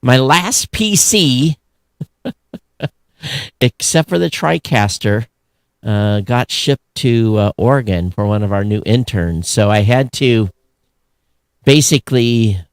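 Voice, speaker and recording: A man's voice: mid-range voice, lively intonation, slow, long pauses, no echo, studio quality. An American man chatting casually and unscripted as a podcast co-host, in a rambling, conversational way. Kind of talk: casual unscripted show chat.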